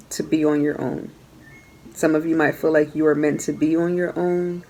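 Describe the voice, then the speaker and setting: A woman giving a casual tarot reading to her viewers, talking earnestly and repeating her phrases, her voice going hoarse. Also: sits low, darkish tone. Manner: earnest, repeats phrases while coaching the listener. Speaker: tarot reader.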